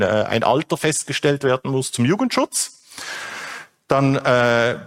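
A man explains a legal and technical topic step by step, with frequent hesitations. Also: mid-range voice. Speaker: speaker on data protection. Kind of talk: explanatory talk.

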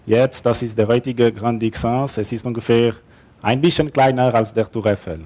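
A man's voice: medium pitch, fairly even intonation, moderate pace, darkish tone. Man speaking hesitant German. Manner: non-native German with grammar slips, hesitant fillers, light self-deprecating humor. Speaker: conference speaker. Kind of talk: conference talk with slides. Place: conference hall. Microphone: headset or lapel mic.

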